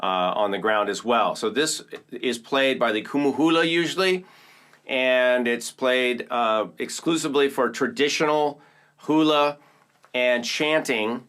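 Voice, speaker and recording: A man's voice: mid-range voice, unhurried, fairly bright tone. A man from Oakland, California, hosting a percussion tutorial and explaining instruments to viewers in an upbeat, enthusiastic way. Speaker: percussion teacher and host. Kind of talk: casual demonstration tutorial.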